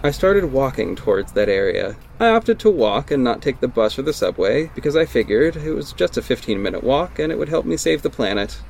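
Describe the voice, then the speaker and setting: An actor playing a dry, sarcastic young private investigator and vigilante, narrating an audio drama in first person. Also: male voice, mid-range voice, sing-song, a little fast, creaky, hardly any pauses, darkish tone, slight background noise.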